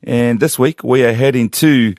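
A man co-hosting a podcast in casual chat, relaxed and self-mocking, tripping over words now and then. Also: mid-range voice, moderate pace, dry recording, studio quality. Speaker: podcast co-host.

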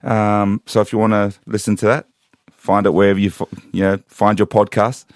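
Man, lowish voice, easygoing podcast banter, stumbling over words, dry sound. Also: fairly quick, no room sound. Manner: relaxed, self-mocking, stumbles over words. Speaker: podcast co-host. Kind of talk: casual chat.

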